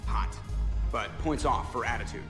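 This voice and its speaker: Male pilot actor, game dialogue: sarcastic, casual, awkward edge, roomy echo, clear music. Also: medium pitch, sing-song, moderate pace, natural voice. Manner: sarcastic, offhand. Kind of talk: scripted video game dialogue.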